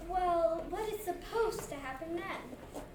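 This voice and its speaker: Woman playing a high-pitched sly, coaxing wolf. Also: expressive, takes it slowly, full character voice. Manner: teasing, falsely friendly. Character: sly, coaxing wolf tricking a young shepherd. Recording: distant, echoey room with background noise.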